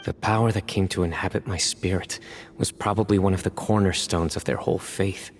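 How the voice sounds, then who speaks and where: A man's voice: low voice, steady tone, brisk, slight background noise. An actor playing a stern, reserved young male guardian who talks tersely and seriously. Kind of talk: video game voice acting.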